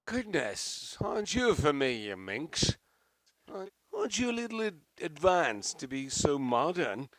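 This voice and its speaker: A man's voice: fairly high pitch, sing-song, measured pace, fairly bright tone, dry recording. A man playing a pompous, gallant, drunken old stage actor in a radio play. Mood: roguish. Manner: pompous, theatrical.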